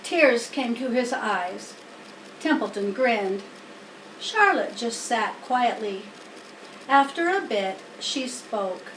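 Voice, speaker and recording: A woman's voice: fairly high pitch, expressive, takes it slowly, fairly bright tone. A woman narrating a children's story aloud as a scripted reading. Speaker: storybook reader. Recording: roomy, distant mic, faint noise.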